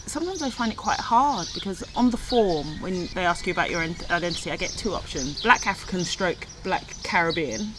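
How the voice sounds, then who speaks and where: A woman's voice: on the low side, animated, a little fast, hardly any pauses, very noisy. A woman from London with a London accent, chatting on a podcast in a wry, self-deprecating way. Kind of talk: casual podcast chat. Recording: outdoors with birdsong.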